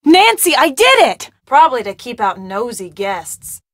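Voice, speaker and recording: An actress playing a wry, peppy young woman in an adventure game, expressive and colloquial, with an American accent. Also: fairly high pitch, measured pace, no room sound, clean studio sound, full character voice. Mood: exasperated, urgent. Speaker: video game voice actor. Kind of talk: scripted adventure game dialogue.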